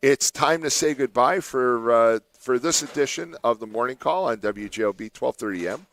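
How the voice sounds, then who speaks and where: A man's voice: mid-range voice, normal speed, fairly bright tone. A man hosting a morning radio show, chatting casually and laughing often with his co-host. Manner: chatty, laughs often, does playful mock voices. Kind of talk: casual studio banter. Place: radio studio.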